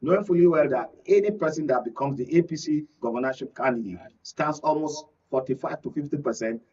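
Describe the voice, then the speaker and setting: A man's voice: fairly high pitch, a little fast, dark tone, slightly rough. A man with a Nigerian accent speaking as a guest analyst in a political panel discussion, explaining at length and repeating phrases for emphasis. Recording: dull, distant studio sound.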